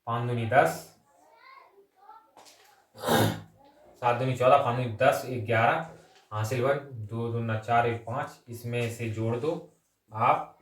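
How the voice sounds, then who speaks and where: A man's voice: mid-range voice, fairly even intonation, takes it slowly, pauses often, slight background noise. A male maths teacher with a mid-range voice works through exam problems aloud, one step at a time. He drops English number words into his explanation and keeps checking in with short tags. The sound is echoey, picked up some way from the microphone. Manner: repeatedly checks in with short tag phrases; mixes English number words and terms into the talk. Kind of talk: exam-coaching lesson, working sums aloud step by step. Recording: roomy echo, distant microphone.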